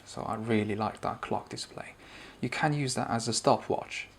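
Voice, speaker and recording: Gravelly-voiced man reviewing a product. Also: lowish voice, normal speed, slight background noise. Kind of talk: spoken product review and demonstration.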